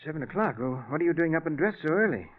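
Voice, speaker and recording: An actor playing a stubborn, skeptical middle-aged financier in an old radio drama, blustery and emphatic. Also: male voice, a little high, expressive, fast, close to an everyday voice. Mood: groggy, mild. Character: stubborn skeptical financier, father of grown daughter. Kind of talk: 1940s radio acting. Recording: dull old radio recording.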